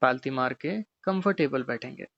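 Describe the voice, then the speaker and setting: A man teaching guided meditation, giving gently repetitive, step-by-step instructions. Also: medium pitch, expressive, moderate pace, creaky, dry recording, muffled recording.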